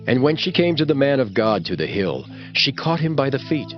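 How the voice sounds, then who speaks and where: A man's voice: medium pitch, big pitch swings, a little fast, muffled recording, over music. A man narrating a scripture audiobook in a formal, solemn storytelling manner.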